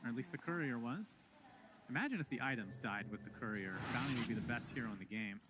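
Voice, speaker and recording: A man casting a live esports match, calling the play quickly and with excitement, and laughing often. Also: mid-range voice, heavy background noise.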